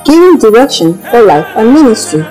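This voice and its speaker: A woman's voice: fairly high pitch, sing-song, brisk, mellow, poor audio. A woman reading scripted narration for a documentary. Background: music.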